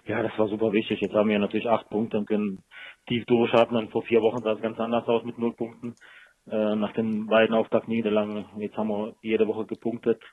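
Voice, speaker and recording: A man's voice: on the low side, monotone, brisk, no room sound. A man speaking as a football coach in a post-match interview over a telephone line. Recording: muffled, dry telephone line.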